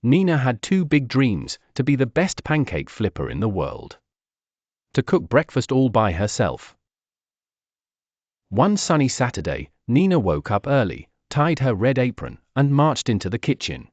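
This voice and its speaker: Slow, highly expressive male storyteller. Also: dry recording, dull audio. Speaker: children's story narrator. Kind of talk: scripted read-aloud storytelling for kids.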